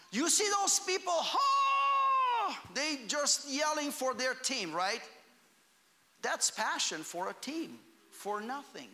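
A man from Romania, preaching a fervent sermon in a church that builds to shouts on key phrases. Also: extremely high pitch, long reverb tail. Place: church hall.

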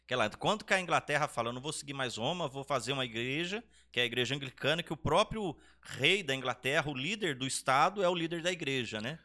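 A man's voice: medium pitch, lively intonation, fast, few pauses, sharp tone. A man chiming into a casual conversation, adding his own points with some hesitation. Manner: chimes in, adds points, hesitant.

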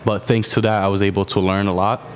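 A man in his twenties answers questions on a podcast. His voice is fairly low, and his delivery is plain and earnest. Faint background noise runs under the recording. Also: level tone, quick pace. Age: 20s. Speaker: podcast guest. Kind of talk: casual interview chat.

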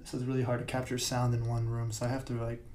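A young man with a raspy voice hosts his own podcast. He rambles casually and keeps correcting himself, using plenty of fillers. His delivery stays flat and mellow, and a large room can be heard around him. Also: medium pitch, fast, a little hiss or hum. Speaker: podcast host. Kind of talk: casual solo podcast talk. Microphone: podcast mic.

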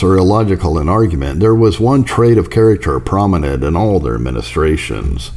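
A man reading church history aloud as an audiobook narrator, in a measured, formal manner. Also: deep voice, darkish tone. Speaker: audiobook reader.

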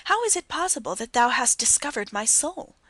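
A woman's voice: a little high, very animated, fairly quick, pushed and bright, no room sound, pitched above the performer's own voice, exaggerated voice. A woman reading the part of a troubled, despairing young man in an audiobook, pleading and impassioned. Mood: anguished.

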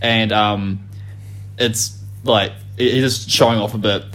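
A man's voice: on the low side, steady tone, measured pace, fairly bright tone, rough recording. Laughing, swearing young Kiwi guy. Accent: New Zealand. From New Zealand. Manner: swears freely, laughs often, rambling. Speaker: podcast co-host. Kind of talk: casual chat.

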